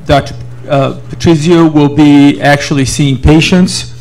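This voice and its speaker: Gravelly man hesitantly introducing a speaker. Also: a little high, steady tone, unhurried, a little mellow, rough recording. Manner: hesitant, many filler sounds. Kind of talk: formal introduction.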